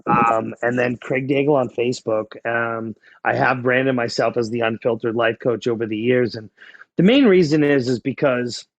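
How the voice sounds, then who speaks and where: Casting: male, 40s, Boston accent, medium-pitched with gravelly fry, frank and conversational, close dry recording. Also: normal speed, dry recording, highs cut off. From New England, small-town New Hampshire near the Massachusetts border. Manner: candid, blunt. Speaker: guest, life coach. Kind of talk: casual conversational storytelling.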